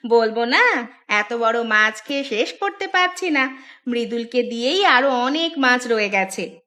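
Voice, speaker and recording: A woman's voice: on the high side, lively intonation, takes it slowly, sharp tone, heavily acted. A woman voicing a scheming village housewife in a cartoon, coaxing and insistent. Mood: teasing. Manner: wheedling, insistent. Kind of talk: cartoon voice acting.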